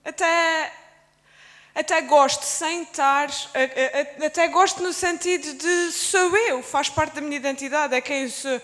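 A woman's voice: sits high, unhurried, a bit bright. A woman from the Azores with an Azorean Portuguese accent, speaking as a panelist in a discussion, hesitant and often restarting her words. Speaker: panelist, stage performer. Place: public event space. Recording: long hall reverb.

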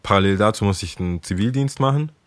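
A young man with a very low, deep voice tells stories in a loose, chatty way. The sound is close and dry, with no room around it. He laughs now and then while he talks. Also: quick pace, no echo. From Ghana. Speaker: guest, skate shop worker. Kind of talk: casual interview storytelling.

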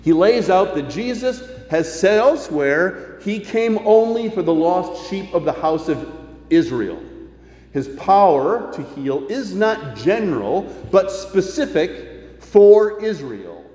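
Guy giving a sermon, lively up and down voice, big echo, kinda dull recording. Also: high voice, slow. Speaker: preacher. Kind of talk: sermon, partly reading quoted news aloud. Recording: echoey church hall, slightly dull sound.

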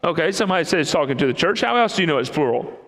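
High-pitched guy preaching in a big echoey church, chatty, keeps quizzing the crowd. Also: very animated, very fast, fairly bright tone. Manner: conversational, asks the congregation questions. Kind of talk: interactive sermon with congregation. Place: church sanctuary. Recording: long hall reverb.

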